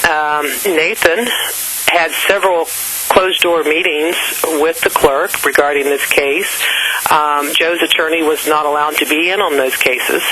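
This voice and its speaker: Slightly higher-voiced man as radio guest, explaining facts in a measured way, with clear broadcast hiss. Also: steady tone. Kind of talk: radio interview.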